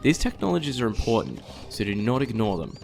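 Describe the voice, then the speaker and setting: A man delivers scripted instructional narration for a game. His voice sits fairly low but moves with animated intonation as he guides the listener step by step. Soft music runs behind him. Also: fairly quick, vocal fry.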